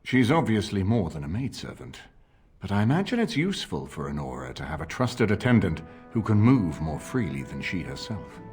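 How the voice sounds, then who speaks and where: An actor playing a shrewd, calculating nobleman with a courteous, measured, formal and persuasive manner. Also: low-pitched, very animated, a little mellow, husky, soft background music, exaggerated voice.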